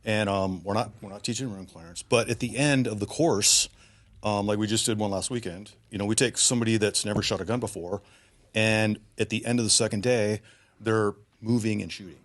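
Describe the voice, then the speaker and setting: Man, 40s, low voice, rambling, casual podcast guest. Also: a little fast. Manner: rambling, loose, lots of fillers and restarts. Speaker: guest, shooting instructor.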